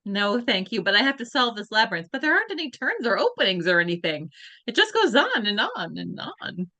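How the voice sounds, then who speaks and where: Impatient teenage girl played by a woman, sharp retorts, high, animated. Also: brisk, exaggerated voice. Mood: puzzled. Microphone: video call.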